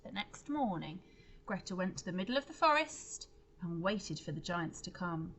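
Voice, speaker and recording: A woman's voice: on the low side, big pitch swings, normal speed, fairly bright tone, husky. A woman reading a storybook aloud to children like a teacher, expressively, with explanatory asides and questions to her listeners.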